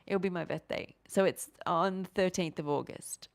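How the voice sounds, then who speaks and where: A woman chats on a livestream, answering viewers. Her voice sits on the low side. She rambles and restarts her sentences, and she talks with her hands. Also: moderate pace. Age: 40s. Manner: rambling, animated, restarts often, gestures with hands. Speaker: livestream host.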